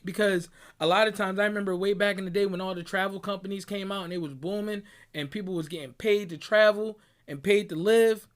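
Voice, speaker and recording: High-voiced emphatic young man from Barbados. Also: fairly even intonation, brisk, few pauses. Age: young adult. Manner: emphatic, preachy, peppers talk with "right?" and "bruh". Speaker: motivational speaker. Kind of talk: motivational monologue. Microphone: audio clip recording.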